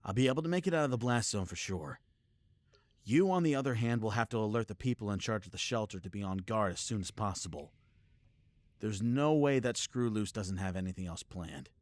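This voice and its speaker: A man's voice: fairly low pitch, expressive, fairly quick, no echo, close to an everyday voice. A man playing a proud, loyal superhero in an audio roleplay, speaking with sardonic and earnest emphasis. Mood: urgent, anguished. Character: defiant male superhero, proud, sarcastic, fiercely loyal. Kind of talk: scripted audio roleplay acting.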